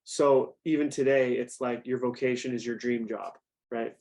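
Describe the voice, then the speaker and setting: A man's voice: mid-range voice, steady tone, normal speed, darkish tone. Man leading an interactive faith talk. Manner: conversational, asks the audience questions. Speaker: youth program director.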